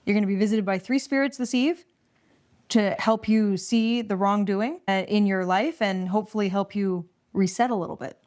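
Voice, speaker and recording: A woman's voice: mid-range voice, moderate pace, a little mellow. A woman co-hosting a casual book chat, retelling and discussing a story in an informal, plain-spoken way. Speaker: podcast co-host.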